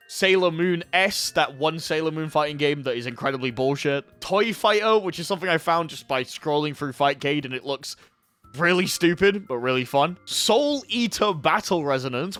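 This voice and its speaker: A man's voice: fairly high pitch, a bit bright. Young guy hosting his gaming channel, sounds kinda sick, chill and sweary, faint music behind. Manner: laid-back and swearing, sometimes annoyed. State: sick with a headache. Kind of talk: casual talk to camera.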